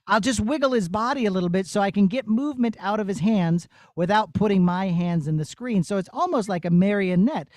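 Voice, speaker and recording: High-pitched man explaining things to children. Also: a little mellow, no echo, studio quality. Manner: explains step by step in simple child-friendly words. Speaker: puppeteer presenting his show. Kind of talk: demonstration and Q&A for children. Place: puppet theatre studio.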